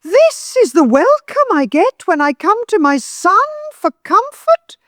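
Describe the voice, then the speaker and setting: A woman's voice: extremely high pitch, very animated, a little slow, fairly bright tone, dry recording, studio quality, exaggerated voice. An actress playing a bitter, manipulative older mother-in-law in an audio drama, theatrical and guilt-tripping. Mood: tearful, pleading.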